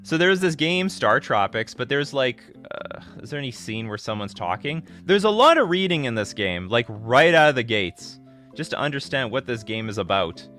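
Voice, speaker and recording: A man in his thirties hosting a casual livestream, answering viewer questions in a rambling, excitable way, often restarting his sentences. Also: medium pitch, moderate pace, faint music behind. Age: 30s. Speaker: livestream host.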